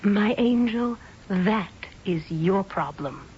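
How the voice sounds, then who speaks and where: An airily commanding sweetheart in an old radio play, with a very animated voice. She is sweetly dismissive, heard through a lo-fi recording with clear background noise. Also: medium pitch, slow. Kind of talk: radio drama acting.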